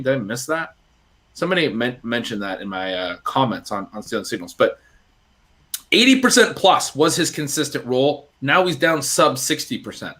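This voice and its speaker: Excitable man with a lively, higher voice. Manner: excitable, insistent, laughs through his points. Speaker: fantasy football podcast co-host. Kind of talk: casual sports chat.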